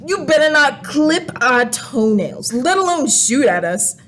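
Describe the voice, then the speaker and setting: A woman's voice: sits high, sing-song, a little slow, a bit bright, a little hiss or hum, full character voice. A woman playing a snide, mocking alien renegade who scolds humans in a comedic radio play. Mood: smug. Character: sassy, mocking alien crayon renegade visiting Earth.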